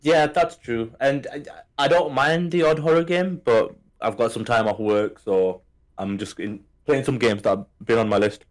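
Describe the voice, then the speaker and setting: A man's voice: medium pitch, normal speed. Playful man from Hong Kong. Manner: playful, joking. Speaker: podcast co-host. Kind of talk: casual podcast chat.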